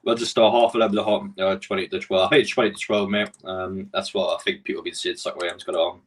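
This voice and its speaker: A man's voice: sits low, flat delivery, quick pace, distant mic. A man from Newcastle with a Geordie accent, hosting a casual live stream and chatting with his viewers in a rambling way. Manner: chatty, rambling, answers chat messages.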